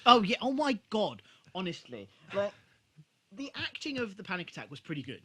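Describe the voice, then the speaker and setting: A man co-hosting a podcast, chatting casually about TV in an animated, emphatic way with plenty of swearing. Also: sits high, normal speed, breathy edge, no echo. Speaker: podcast co-host. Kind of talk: casual TV episode discussion.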